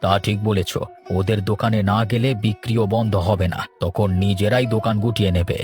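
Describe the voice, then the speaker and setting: A man voicing a grave, deliberate village headman in a cartoon, speaking in a measured, pondering way. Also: on the low side, steady tone, close to an everyday voice. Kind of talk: Bengali cartoon dubbing. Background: plucked string music.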